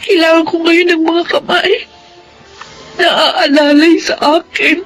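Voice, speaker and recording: A woman with a Filipino accent playing a grieving, earnest elder sister in a radio drama, speaking haltingly and tearfully. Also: very high-pitched, little pitch movement, over music, low-quality recording. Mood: tearful pleading. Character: grieving eldest sister, bereaved mother, pleading and forgiving.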